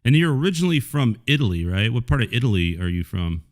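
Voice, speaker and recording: A man hosting a podcast, asking easygoing questions and checking with a quick "right?". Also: mid-range voice, big pitch swings. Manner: laid-back, chatty, asks leading questions with "right?". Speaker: podcast host. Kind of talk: casual interview chat.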